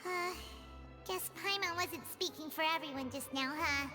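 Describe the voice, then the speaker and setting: A woman voicing a small, chirpy, childlike fairy companion girl in an anime-style video game, squeaky and exclamatory. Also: normal speed, close to an everyday voice. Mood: sheepish, worried. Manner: talks about self in third person, exclamatory. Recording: loud music under the voice.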